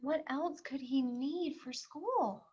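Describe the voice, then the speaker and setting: A woman reading a storybook aloud to young children, expressive and singsong, pausing to explain and ask questions. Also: high voice, lo-fi sound.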